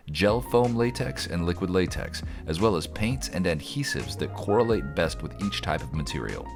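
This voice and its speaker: Deep-voiced, lively male narrator over music. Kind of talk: scripted promotional narration. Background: music under the voice.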